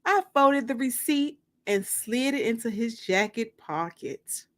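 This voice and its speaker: A woman giving an expressive first-person dramatic audiobook reading, with theatrical pauses. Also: on the high side. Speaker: author reading her own novel.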